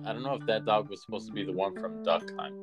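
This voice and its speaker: A man's voice: lowish voice, quick pace, music in the background. A man in a casual podcast conversation, talking as a co-host. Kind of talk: casual chat.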